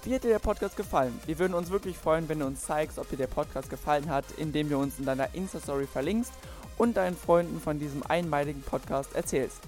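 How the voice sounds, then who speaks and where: A man's voice: on the high side, fast, darkish tone, faint music behind. A man delivering a podcast's promotional outro in a punchy, salesy way.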